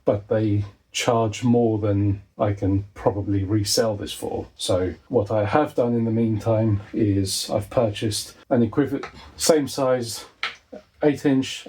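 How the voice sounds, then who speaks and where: A man's voice: medium pitch, warm tone. A man hosting a hands-on repair tutorial, talking casually and with dry humour as he works indoors at a desk. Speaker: host of a repair video. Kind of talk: casual hands-on tutorial commentary while working. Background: clicks and handling noise of tools.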